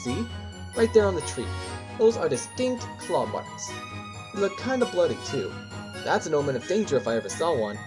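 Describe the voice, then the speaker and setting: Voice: mid-range voice, big pitch swings, normal speed, over music, natural voice. A performer playing a cocky, eager young male wolf pup witness in an audio drama, brash and casual. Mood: cocky, excited. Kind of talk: courtroom audio drama acting.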